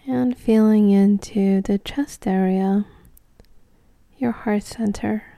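A woman leading a guided meditation in a gentle, soothing, unhurried way, leaving long pauses between short phrases. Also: mid-range voice, steady tone, creaky, no room sound.

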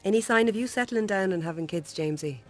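An actress playing a friendly Irish visiting nurse in a radio drama, reassuring and gently probing, with a lightly teasing manner. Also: female voice, mid-range voice, lively intonation, fast talker, soft background music, lightly acted. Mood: chatty, calm. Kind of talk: naturalistic radio drama acting.

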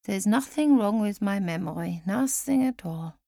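A woman voicing a frail elderly woman who speaks formally and with gentle insistence, in an audiobook story. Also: medium pitch, animated, gravelly, dry recording, studio quality. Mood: earnest, gently wry. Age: older. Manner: measured, formal, gently insistent speech with few contractions.

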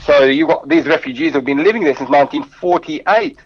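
A man's voice: on the high side, brisk, lo-fi sound, a little hiss or hum. A man whose first language is Arabic and who grew up in Lebanon, answering interview questions in English with earnest, heartfelt storytelling and frequent restarts. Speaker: guest.

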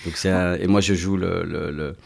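A Belgian man in his forties with a low, deep voice. He talks in a relaxed, chatty way, restarting his phrases often and dropping in a steady "ouais". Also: moderate pace, vocal fry. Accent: Belgian French. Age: 40s. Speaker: guest. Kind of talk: casual chat.

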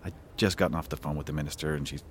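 A man's voice: a bass voice, fairly even intonation, rapid-fire, a bit bright, a little hiss or hum. An actor playing a harried male political staffer in a satirical audio drama, stammering, impatient and profane. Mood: uneasy, venting. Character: frazzled, foul-mouthed male political staffer.

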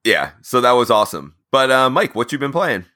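A man co-hosting a casual podcast while stuffy with a cold, relaxed and wry. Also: medium pitch, brisk, no echo, studio quality. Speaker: podcast co-host.

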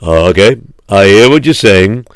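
A man's voice: fairly low pitch, expressive, unhurried, no echo, clean studio sound, voice pushed down. A man playing a wealthy, stubborn miser in a told tale, repeating himself in falsely apologetic or indignant refusals. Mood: firm, apologetic. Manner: repetitive, stammering refusals.

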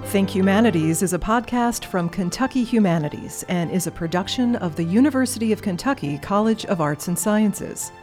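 A woman announcer reading a scripted closing announcement in a clear, read-out way. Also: medium pitch, lively intonation, brisk, hardly any pauses, a little mellow, faint music behind.

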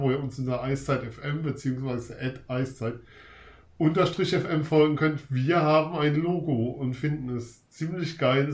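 A man's voice: fairly high pitch, reverberant. A man with a cold, hosting a casual sports podcast in an upbeat, chatty way. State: has a cold. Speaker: podcast host.

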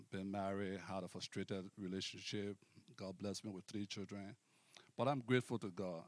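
A middle-aged man with a Liberian accent, preaching and giving testimony to a congregation in deliberate, devout, formal phrasing. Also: lowish voice, a little mellow, no echo. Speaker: guest preacher. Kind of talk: personal testimony and sermon to a congregation.

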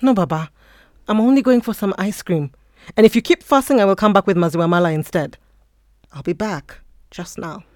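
Actress as a composed Kenyan wife, close dry sound. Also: female voice, mid-range voice, fairly quick, darkish tone, no room sound, natural voice. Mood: firm. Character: composed Kenyan wife, hostess and mother, quietly firm. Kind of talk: acted audio fiction.

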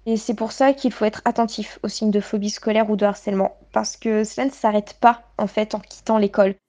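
A woman's voice: mid-range voice, fairly even intonation, brisk, dark tone. A woman in her late twenties giving articulate, literary, self-deprecating personal testimony as a guest in a radio interview.

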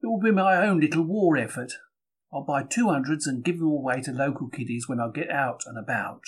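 A gutsy working-class Cockney bookseller played by a man confides his wartime diary in a slightly raised pitch. The delivery is dramatised, colloquial and expressive, full of open emotion. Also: brisk, slightly rough. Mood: brisk, wry. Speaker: reader.

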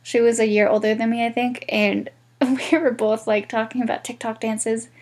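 A woman's voice: fairly high pitch, flat delivery, normal speed, a little mellow. Congested woman vlogger, candid and rambling. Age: 20s. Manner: candid, swears freely, rambling. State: congested, stuffy nose. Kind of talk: casual chat to camera.

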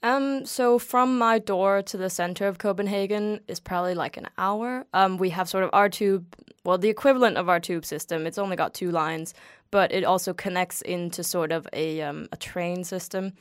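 A woman from Denmark, a native Danish speaker, chats easily in a fairly low voice. She fills her talk with little fillers and laughs often. The recording is close and dry. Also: normal speed, clear, resonant tone, no room sound. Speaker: interview guest. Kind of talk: casual interview chat.

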